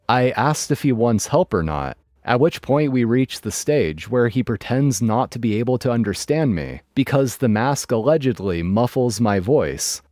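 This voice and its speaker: A man narrating online stories in a lively, dry storytelling manner. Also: medium pitch, moderate pace, few pauses, no echo.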